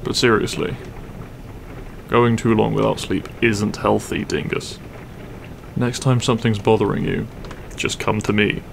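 A man playing a grumpy but secretly caring half-dragon roommate in an audio roleplay, gruff, weary and blunt. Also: fairly low pitch, fairly even intonation, a little slow, slight background noise. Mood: gruffly concerned. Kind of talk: audio roleplay acting, spoken to the listener.

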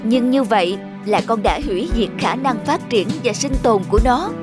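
An actor voicing a wise, authoritative mentor in a children's cartoon, speaking in a calm, measured, instructive way. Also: mid-range voice, over music, natural voice. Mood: grave, lecturing. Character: calm, wise, authoritative fairy-tale mentor teaching a pupil. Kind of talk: animated children's fairy tale acting.

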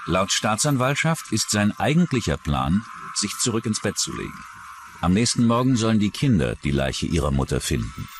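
A man narrating a true-crime documentary from a script in a measured, dramatic way. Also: on the low side, sing-song, noisy background. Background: music behind the voice.

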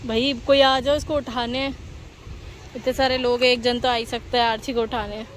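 A woman's voice: level tone, normal speed. A woman, chatting casually and teasingly to her phone as she vlogs, with bursts of exclamation. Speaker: vlogger. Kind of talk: casual outdoor vlog chat with companions. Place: outdoors at a beach and open-air cafe. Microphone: phone at arm's length. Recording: noisy outdoor phone recording with ambient rumble.

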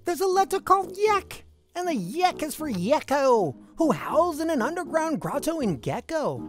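A woman reading a rhyming picture book aloud to children in an expressive, sing-song storytelling manner. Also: sits high, moderate pace, soft background music.

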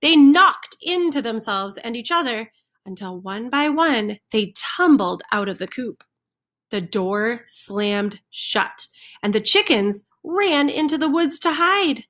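Slow-paced, higher-voiced woman reading to children. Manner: expressive, emphasizing rhyming sound words. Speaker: children's center storyteller. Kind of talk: read-aloud picture-book narration for young children. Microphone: computer microphone on a screen recording with webcam.

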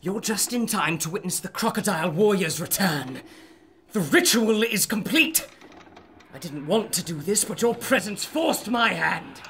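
An actor playing a gloating, villainous cultist in an audio adventure serial, declaiming in a grandiose, drawn-out way. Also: male voice, sits high, lively intonation, fairly bright tone, a little hiss or hum, full character voice. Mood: gloating, ominous.

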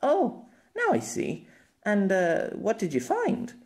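An earnest young woman in a fantasy audiobook, as a male narrator puts her on, pitched high and swooping. She speaks in formal, old-fashioned phrases with eager little hesitations. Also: wildly swooping pitch, slow, darkish tone, heavily acted. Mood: earnest, inquisitive. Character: earnest, excitable, formal-spoken young woman from another world.

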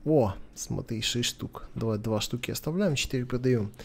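A man's voice: mid-range voice, normal speed, gravelly, husky. A man gives casual let's play commentary, thinking aloud as if to a companion. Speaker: gameplay commentator.

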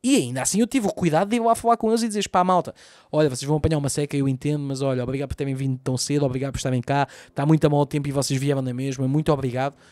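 A man in his thirties from Lisbon with a Lisbon Portuguese accent, hosting a solo podcast as a comedian, ranting profanely with big gestures into a close boom mic in a small room at home. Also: racing, a little mellow, no room sound. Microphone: boom mic close to mouth, wearing headphones.

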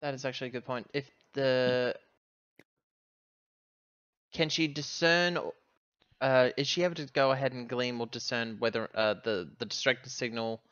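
A man with a mid-pitched, clean voice talks as a player in a tabletop game. He is halting and works out his questions aloud. The audio is close and a little lo-fi. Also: fairly bright tone, smooth, no echo. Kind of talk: casual game chat.

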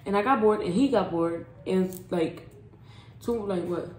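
A woman's voice: medium pitch, unhurried, a little mellow. Female vlog co-host, informal storyteller with a bantering edge; roomy sound with noticeable background noise. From Puerto Rican family. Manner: informal, bantering, often correcting co-speaker. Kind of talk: casual chat, storytelling. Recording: echoey room, clear background noise, clicks.

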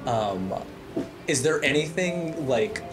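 A man's voice: mid-range voice, lively intonation, slow, loud music behind the voice. A man playing a tabletop game, improvising casually in character with hesitant, stop-start phrasing.

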